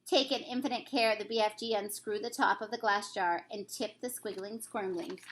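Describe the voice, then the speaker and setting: A woman's voice: on the high side, a bit bright, a little hiss or hum. A woman reading a children's storybook aloud as a teacher would, with occasional stumbles.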